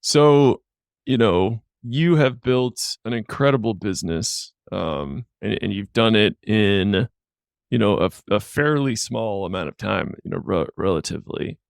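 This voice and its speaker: Gravelly low-voiced male podcast host. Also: dry recording, clean studio sound. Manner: enthusiastic, affirming, halting questions. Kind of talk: podcast interview.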